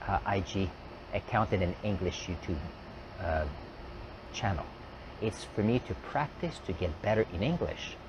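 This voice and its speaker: A man's voice: on the low side, dull audio, noisy background. Man thinking aloud. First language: French. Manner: reflective, thinking aloud with fillers and restarts. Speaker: host. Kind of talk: live stream talk.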